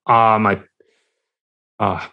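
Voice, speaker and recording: A man speaking as a podcast guest in a casual, halting, mild-mannered conversational way. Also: fairly low pitch, little pitch movement, muffled recording. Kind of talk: casual interview chat.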